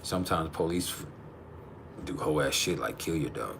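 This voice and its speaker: Deep-voiced man chatting over music. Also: expressive, normal speed, creaky, heavy background noise. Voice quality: naturally deep voice. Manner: slangy, profane, blunt. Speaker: livestream host. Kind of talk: casual live chat with viewers.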